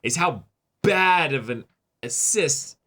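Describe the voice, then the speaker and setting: A man co-hosting an anime reaction show, chatting casually and enthusiastically about an episode in a halting way. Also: fairly high pitch, animated, sharp tone. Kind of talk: casual chat, episode discussion.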